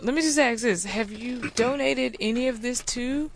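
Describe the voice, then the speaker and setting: Skeptical woman asking pointed questions, slightly high voice. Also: moderate pace, slight background noise. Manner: skeptical, probing. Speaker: interviewer in a comedy sketch.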